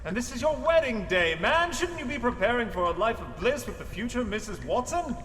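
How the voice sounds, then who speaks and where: Man acting a high, animated police inspector. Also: brisk, full character voice. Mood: stern, exasperated. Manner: formal, urgent, emphatic. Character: brisk, commanding yet friendly male Victorian police inspector. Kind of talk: audio drama acting. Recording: long reverb with faint music. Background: faint music.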